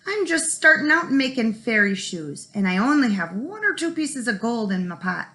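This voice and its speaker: A woman's voice: fairly high pitch, very animated, moderate pace, bright, forceful tone, exaggerated voice. A woman voicing a clever little male leprechaun in a storybook, pleading and wheedling.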